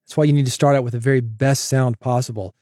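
A man hosting a solo podcast, coaching listeners in an energetic, direct way on a broadcast mic. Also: medium pitch, fast talker, dry recording, clean studio sound. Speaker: podcast host. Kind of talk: solo podcast advice with sponsor read. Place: home studio, processed dry voice. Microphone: studio broadcast dynamic mic.

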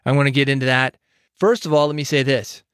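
A man's voice: mid-range voice, fairly even intonation, fast talker, fairly bright tone, clear, resonant tone, dry recording. A man hosting his own podcast alone, giving direct, confident advice into a studio microphone. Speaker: podcast host. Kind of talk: solo podcast talk.

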